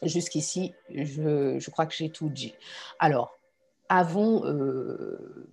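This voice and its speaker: Husky-voiced woman coaching online. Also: deep voice, slow pace, darkish tone. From Congo and France. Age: adult. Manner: encouraging, addresses the learner directly. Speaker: online course instructor and coach. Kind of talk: informal online lesson to students.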